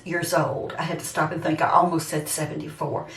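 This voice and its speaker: A woman's voice: low-pitched, level tone, a little fast. A woman in her seventies chatting casually and a bit ramblingly to her viewers, addressing them directly. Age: 70s, seventy-six. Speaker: vlogger. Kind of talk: casual personal chat to viewers. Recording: roomy echo, distant microphone.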